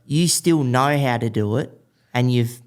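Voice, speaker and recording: A man's voice: medium pitch, unhurried, clear, resonant tone. An Australian man hosting a casual chat, asking questions in an informal, blokey way with plenty of slang. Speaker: podcast host.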